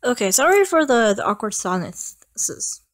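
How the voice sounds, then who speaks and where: A young woman vlogging in a casual, unscripted way. She talks slowly, stumbles and stutters, and repeats words as she hunts for what to say. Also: on the high side, big pitch swings. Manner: hesitant, stutters and repeats herself. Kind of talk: casual, unscripted vlog talk.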